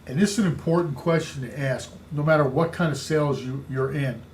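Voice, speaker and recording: A man with a big booming voice coaching real estate agents, speaking emphatically and repeating his key points. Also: a little fast, slight background noise. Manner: emphatic, repetitive coaching delivery. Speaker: real estate sales trainer. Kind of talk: instructional podcast talk.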